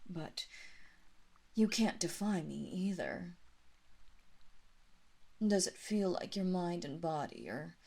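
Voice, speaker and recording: A seductive, cruel female vampire mistress, acted by a woman speaks in a fairly low register at a very slow, deliberate pace. Her delivery is intimate and breathy, sliding into whispers as she taunts and threatens the listener in an audio roleplay. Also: expressive, darkish tone, heavily acted. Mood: taunting, menacing.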